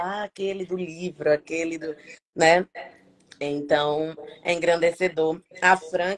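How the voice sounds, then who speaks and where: A woman with a northeastern Brazilian accent speaks as a lawyer guest over a video call. Her voice sits a bit low, and she talks in an emphatic, heartfelt way. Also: a little mellow. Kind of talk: casual live-stream talk.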